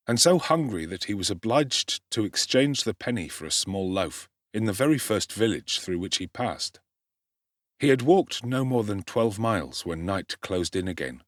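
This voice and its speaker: A man giving a dramatic, expressive audiobook narration of a classic novel, telling the story in a measured way. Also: fairly low pitch, no echo, studio quality.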